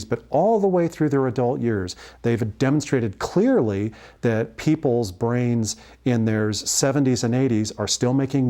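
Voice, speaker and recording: A man in his sixties with a mid-range voice speaks as a doctor teaching on an instructional video. He explains things in measured phrases, often pausing partway through a sentence. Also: medium pitch, mellow. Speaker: family physician and instructor.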